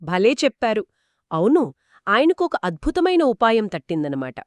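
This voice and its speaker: A woman's voice: fairly high pitch, very animated, normal speed, no room sound, studio quality. A woman telling a moral story conversationally to a co-host, explaining each step expressively and affirming as she goes.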